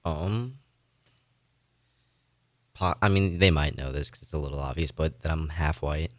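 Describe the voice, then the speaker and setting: Very deep-voiced teenage guy. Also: a little slow, dry recording. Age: teens, high school student. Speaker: student guest. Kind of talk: casual interview answers. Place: radio studio.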